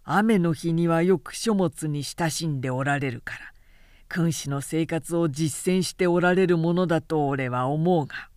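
A woman voicing a stern, loyal male general who speaks in a measured, admonishing way, in an audiobook novel. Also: sits low, a little mellow, dry recording, studio quality. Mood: solemn. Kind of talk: literary audiobook dialogue.

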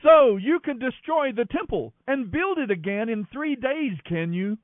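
Man acting an angry jeering mob member, high-pitched. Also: expressive, no echo. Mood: mocking. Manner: yelling, drawn-out, mocking. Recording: thin narrow-band radio-like sound.

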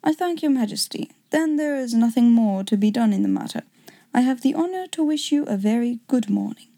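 Storybook gentleman detective, done by a woman, cold, formal, measured, reserved, mellow tone. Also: a little high, expressive. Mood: formal, curt. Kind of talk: storybook character reading.

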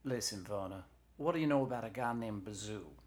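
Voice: mid-range voice, expressive, brisk, voice pushed up, close to an everyday voice. A storyteller voicing a small male talking head with a mustache, a sly charmer with a coaxing, folksy way of talking.

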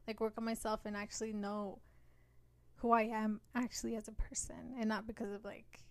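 A woman co-hosting a podcast in casual, slangy chat with animated reactions. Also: medium pitch, normal speed, no room sound. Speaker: podcast co-host.

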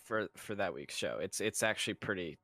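Animated, swearing, joking man. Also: low-pitched, a little fast, dry recording. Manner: crude joking, laughs a lot, swears freely. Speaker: podcast co-host. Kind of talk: casual podcast chat.

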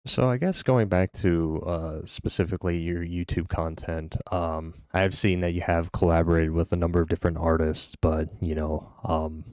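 A soft-spoken man asks questions slowly, pausing to find his words. His voice is very deep and the recording is dry and close. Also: steady tone, no room sound. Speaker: podcast host. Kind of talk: interviewing.

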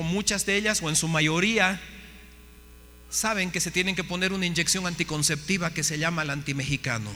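A man gives a fervent preacher's testimony in a fairly high, bright voice. He keeps turning to his listeners with questions. The sound carries a long hall reverb over a faint electrical hum. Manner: impassioned, asks the audience questions. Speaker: missionary preacher. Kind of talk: testimony sermon to a congregation.

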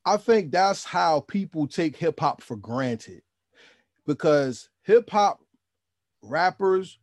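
A man's voice: fairly high pitch, expressive, slow pace, no echo, clean studio sound. A man hosting a podcast, asking questions and musing on the history of the music in a reflective, lecturing way. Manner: reflective and lecturing, with repeated restarts. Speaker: podcast host. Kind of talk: interview questions and commentary.

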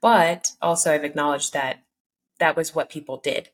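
A woman from Cameroon hosts a conversational podcast. Her voice sits low, and she lays out her points calmly and thoughtfully, filling her pauses with soft "um"s. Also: a little hiss or hum. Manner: measured, thoughtful, with frequent "um" pauses. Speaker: podcast host. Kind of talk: casual conversational interview.

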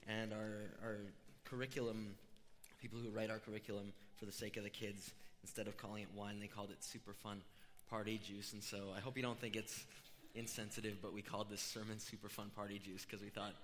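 A middle-aged man preaching a conversational sermon, informal and explanatory, with a voice a little rough from being unwell. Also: lowish voice, little pitch movement, brisk, a bit bright, slight background noise. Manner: informal, storytelling, explaining step by step. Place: large room, amplified before a congregation. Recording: reverberant hall.

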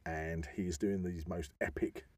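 A man with a low, husky voice talks through his subject like a keen explainer. He stops and starts, leaning on key words. The tone is very mellow and the sound is dry and close. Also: fairly even intonation, unhurried, no echo. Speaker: podcast host. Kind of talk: informal explanatory discussion of an old legend.

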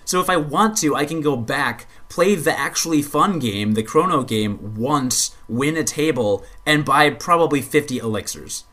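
A man in the middle of the usual pitch range talks through a game in relaxed podcast chat. He rambles and stumbles into repeated words, and swears when something annoys him. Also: normal speed, hardly any pauses. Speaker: podcast co-host. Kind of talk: casual gaming chat.

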